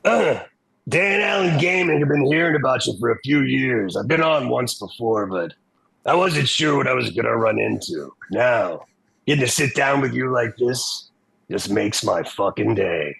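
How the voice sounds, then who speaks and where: A man chatting casually as a guest game actor, with a creaky voice. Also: medium pitch, lively intonation, moderate pace, fairly bright tone, low-quality recording.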